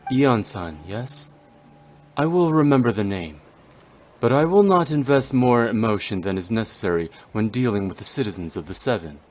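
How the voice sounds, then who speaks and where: A man's voice: fairly low pitch, animated, moderate pace, a little mellow, soft background music, lightly acted. A man voicing a stoic, cold and brooding warrior in a video game, with solemn, formal, terse delivery. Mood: aloof, cold. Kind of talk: dramatic video game voice acting.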